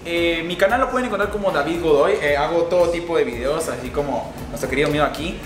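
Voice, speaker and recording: A man's voice: fairly high pitch, fast talker, over music. Young Guatemalan man with a roomy echo. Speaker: guest youtuber.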